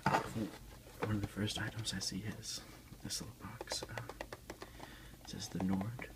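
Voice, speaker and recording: Deep-voiced man murmuring hushed ASMR. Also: flat delivery, a little slow. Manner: hushed, often slipping into whispers. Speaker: unboxing video host. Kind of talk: soft-spoken ASMR, casual unboxing chat.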